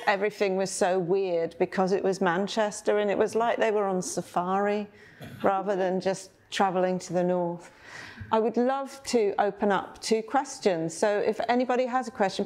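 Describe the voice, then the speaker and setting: A woman of middling pitch chairs a panel before an audience. She talks in a lively, chatty way and laughs easily. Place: university event room with audience.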